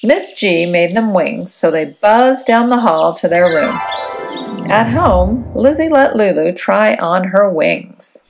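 A woman narrates a children's storybook expressively. Her mid-pitched voice has noticeable creak, and music plays clearly behind her. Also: lively intonation, slow. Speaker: storybook reader. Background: background music.

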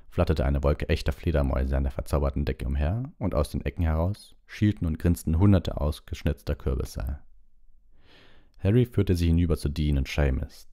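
A man's voice: a bass voice, warm tone. A man reading a story aloud as scripted audiobook narration.

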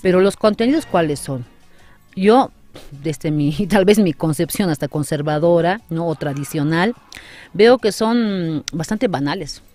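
A woman in her forties, a councillor interviewed in a small radio studio, explaining her views earnestly and with emphasis on a boom-arm mic. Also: animated, a little slow, dark tone, soft background music. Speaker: guest, city councillor.

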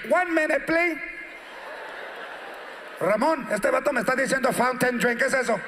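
A man on stage acting out a curt male fast-food worker at a drive-thru speaker, who talks in a Mexican accent and mixes in Spanish. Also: extremely high pitch, bright, forceful tone, reverberant, slight background noise. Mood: impatient.

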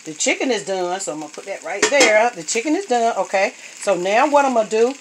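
A woman's voice: mid-range voice, lively intonation, fairly quick. A woman, chatting her way through a home cooking video in her kitchen, often calling out to her viewers. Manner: chatty, homey, keeps saying y'all. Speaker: home cook vlogger. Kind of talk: casual cooking narration. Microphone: phone camera held over the pot. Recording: noisy kitchen phone recording. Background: clinking pots and utensils.

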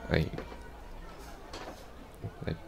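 A man playing a heartbroken, apologetic ex-boyfriend in an intimate ASMR roleplay, soft-spoken, halting and stammering. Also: noisy background. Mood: hesitant, apologetic.

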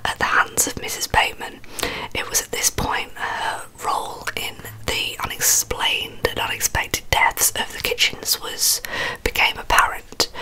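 A woman in her twenties with an English accent telling stories in a soft, breathy ASMR whisper, close to the microphone, slightly hesitant. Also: poor audio. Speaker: ASMR video host. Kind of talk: whispered ASMR true crime storytelling. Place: small carpeted bedroom. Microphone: large microphone very close in front of the mouth.

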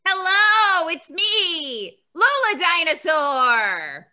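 A woman's voice: very high-pitched, big pitch swings, very slow, pushed and bright, full character voice. A woman voicing a kind, bubbly sister dinosaur puppet, telling a children's story in a playful, exaggerated way. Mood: cheerful. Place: room at home. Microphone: video call, computer mic.

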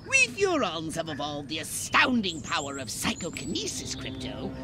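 Pompous, swooping alien commander. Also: male voice, a little high, huge pitch swings, normal speed, sharp tone, faint music behind, heavily acted. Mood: instructive. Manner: theatrical, verbose, disdainful. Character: pompous, haughty alien commander barking orders. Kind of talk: video game character acting.

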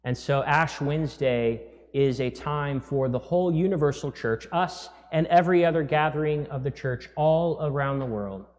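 A man's voice: fairly high pitch, normal speed, few pauses, darkish tone. A man in his thirties, a priest giving a sermon in a casual, conversational way with fillers and restarts, recorded in a large church on a lapel mic. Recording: long church reverb.

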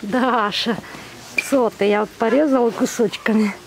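A woman's voice: on the high side, fairly even intonation, unhurried, creaky, background noise. A woman talking in affectionate, cooing baby talk full of repeated words and pet diminutives, as if to animals, recorded on a handheld camera outdoors. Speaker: zoo visitor filming and feeding bears. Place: outdoors at zoo bear enclosures. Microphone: handheld camera held by the speaker.

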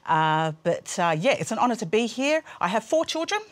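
A woman's voice: a little high, sing-song, a little fast. A woman in her thirties from Australia, co-hosting a church broadcast in a studio, chatty with fillers and laughs. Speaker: co-host. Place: studio with blue screens.

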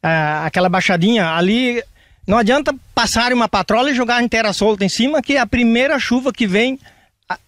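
An older man with a Brazilian Portuguese accent answering interview questions outdoors in a yard, speaking haltingly with frequent tag questions. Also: high-pitched, dry recording, clean studio sound. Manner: halting, plain-spoken with many tag questions. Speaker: interviewed landowner. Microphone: reporter's handheld microphone.

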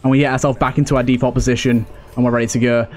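A man's voice: medium pitch, level tone, very fast, a little mellow. A man giving casual let's play commentary, thinking aloud and repeating phrases for emphasis. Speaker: gaming video host.

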